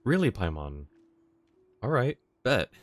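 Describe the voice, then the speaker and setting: A man with a low voice comments casually on a game livestream. His manner is wry and informal, and the sound is close and dry. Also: very animated, takes it slowly, dry recording. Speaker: game streamer.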